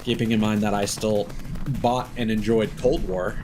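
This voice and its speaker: A man's voice: on the low side, steady tone, moderate pace. A man in his thirties chatting casually and bluntly as he livestreams a video game. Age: 30s. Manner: opinionated, blunt, casual swearing. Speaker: game live streamer. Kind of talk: casual livestream chat over gameplay. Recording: music behind the voice. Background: game music.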